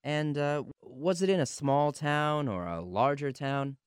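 A man's voice: fairly high pitch, measured pace, smooth, no echo, studio quality. A man hosting a music radio interview, putting prepared questions in a polite, courteous manner.

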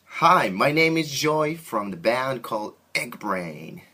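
A man from Japan with a Japanese accent, a band musician answering interview questions in simple, halting second-language phrasing. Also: mid-range voice, big pitch swings, bright, forceful tone, slightly rough.

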